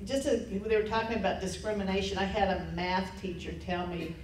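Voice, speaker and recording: A woman's voice: mid-range voice, steady tone, brisk, darkish tone. Chatty woman in her sixties, echoey hall. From Texas. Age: 60s. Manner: chatty, anecdotal, laughs at her own stories. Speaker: lecture panelist. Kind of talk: storytelling talk at a lunch lecture. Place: lecture room. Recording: echoey room, distant mic, faint noise.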